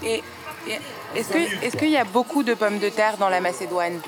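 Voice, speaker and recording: A woman interviewing French learners on site, asking simple, clear questions. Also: mid-range voice, animated, normal speed. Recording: music playing in the background.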